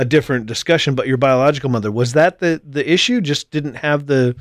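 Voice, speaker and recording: A middle-aged American man hosting a casual conversational interview, thinking aloud in a wordy, halting way and often rephrasing. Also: a little high, no echo, clean studio sound. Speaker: podcast host.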